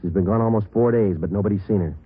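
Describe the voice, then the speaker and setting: Police sergeant, clipped and deadpan; low, brisk delivery, muffled old radio sound. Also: male voice, slightly rough. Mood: terse, insistent. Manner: deadpan, clipped, matter-of-fact. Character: terse, businesslike male police detective sergeant narrating cases. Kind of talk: 1950s radio crime drama narration. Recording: muffled vintage radio recording, music behind.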